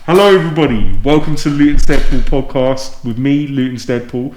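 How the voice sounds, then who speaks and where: A man's voice: medium pitch, a little fast, darkish tone, slight background noise. A man hosting a podcast in a casual, informal, matey way. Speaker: podcast host. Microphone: podcast microphone with headphones. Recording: roomy, echoing sound.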